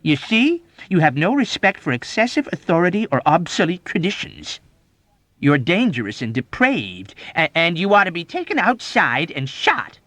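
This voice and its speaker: A man's voice: mid-range voice, lively intonation, takes it slowly, hardly any pauses, dark tone, dry recording. A man voicing a belligerent, easily offended army major in an audiobook, indignant and accusatory. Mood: belligerent hectoring. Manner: indignant, accusatory, badgering, emphatic. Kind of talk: audiobook character acting.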